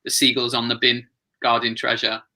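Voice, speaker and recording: A man's voice: medium pitch, monotone, moderate pace, sharp tone. A man taking part in a casual workshop discussion, thinking aloud hesitantly. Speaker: workshop participant.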